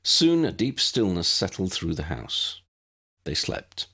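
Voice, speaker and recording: A man reading a story aloud as an audiobook narrator, in an expressive storytelling style. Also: sits low, slow pace, breathy edge. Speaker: audiobook narrator reading own fan fiction.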